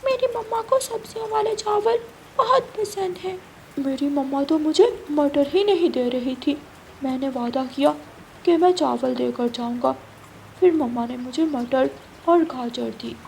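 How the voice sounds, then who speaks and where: A woman's voice: high-pitched, measured pace, creaky, darkish tone, a little hiss or hum, voice pushed up, poor audio. A woman voicing an eager little boy bird in a children's cartoon, pleading earnestly in a childlike way.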